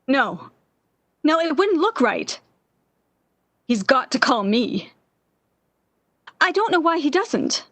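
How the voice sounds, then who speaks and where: A woman's voice: sits high, sing-song, slow pace, long pauses, fairly bright tone, no room sound, exaggerated voice. An actress playing a lovestruck, stubbornly hopeful young woman in a 1940s radio drama, with an insistent, dramatic manner. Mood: anxious, stubborn.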